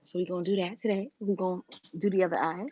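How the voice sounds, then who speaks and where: A woman with a raspy voice, casually talking viewers through a makeup look on a livestream, step by step. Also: lowish voice, fairly even intonation, fast, highs cut off. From Virginia, US. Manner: chatty, talks viewers through each step. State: raspy, deeper voice than usual.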